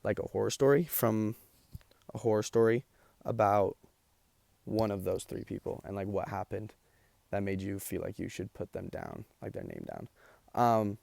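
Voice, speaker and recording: Tired, gravelly young man rambling. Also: lowish voice, darkish tone, no room sound, clean studio sound. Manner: rambling, loose, full of ums and likes. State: tired, losing his voice. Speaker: vlogger. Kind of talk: casual chat.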